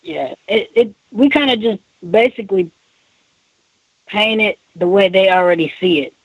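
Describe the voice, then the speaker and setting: A man's voice: high voice, normal speed, no room sound, poor audio. A man being interviewed as a rapper, talking in a casual, conversational way.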